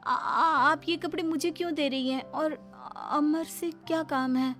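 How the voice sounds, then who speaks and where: A woman voicing a meek, anxious young widow in a cartoon, with a soft, pleading manner. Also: high voice, steady tone, unhurried, faint music behind, voice pushed up. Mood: anxious, puzzled.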